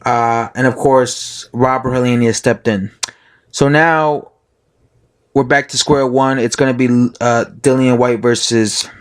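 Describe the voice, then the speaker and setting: Hoarse man rambling about boxing. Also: mid-range voice, steady tone, a little slow. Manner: rambling, full of fillers and self-corrections. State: hoarse from being sick. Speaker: boxing commentator vlogger. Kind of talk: casual opinion monologue.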